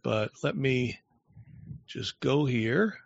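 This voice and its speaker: A man's voice: mid-range voice, lively intonation, drawn-out words, gravelly, husky, no echo, highs cut off. A man hosting a live online show in casual chat, loose and rambling, often trailing off mid-sentence.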